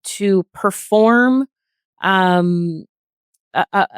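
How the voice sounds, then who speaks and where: Measured woman in dry, close sound. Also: medium pitch, clear, resonant tone, no room sound. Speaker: podcast guest, healthcare worker. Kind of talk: earnest discussion.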